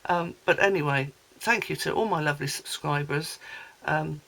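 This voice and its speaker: A woman with a hoarse, gravelly voice from a sore throat, chatting in a rambling way as she shows off a craft haul. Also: sits low, normal speed, fairly bright tone, poor audio. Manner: chatty, rambling, many ums and asides. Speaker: craft vlogger. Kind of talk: casual product haul chat.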